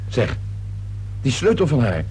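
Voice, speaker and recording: A man's voice: mid-range voice, wildly swooping pitch, unhurried, a little mellow, a little hiss or hum. An actor playing an ingratiating middle-aged father in a radio drama, uneasy and eager to agree. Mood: eager, insistent.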